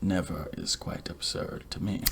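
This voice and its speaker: A man playing a polite, fussy barber in a whispered ASMR roleplay, speaking softly and formally close to the microphone. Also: natural voice. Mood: soft, courteous. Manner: soft, hushed, formal, measured. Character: polite, fussy, chatty male barber tending a client. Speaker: ASMR performer. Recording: loud clicking and hiss, scissor sounds.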